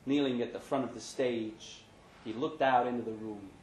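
A man's voice: medium pitch, fairly even intonation, moderate pace, darkish tone, a little hiss or hum. A man giving a scripted narration of a short story at a live reading indoors. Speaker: reader of short fiction. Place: pub event, indoors. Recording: echoey live room.

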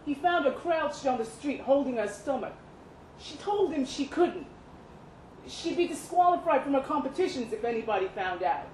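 High-voiced woman reading a story aloud. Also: expressive, moderate pace, a little mellow. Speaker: story reader at a live literary event. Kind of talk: dramatic literary short story reading. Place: indoor live reading event at a pub venue. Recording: roomy live venue, some background noise.

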